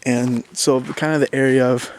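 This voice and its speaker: A man's voice: mid-range voice, fairly even intonation, normal speed. A young man from southern Minnesota with a Minnesotan accent, rambling casually to camera with many fillers and restarts. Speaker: vlogger. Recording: faint background noise.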